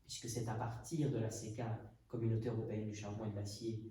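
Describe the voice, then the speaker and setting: A man's voice: lowish voice, little pitch movement, fast, mellow. A man from Portugal hosting a podcast conversation, thinking aloud and hesitating often. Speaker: podcast host. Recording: echoey room, mic at a distance, faint noise.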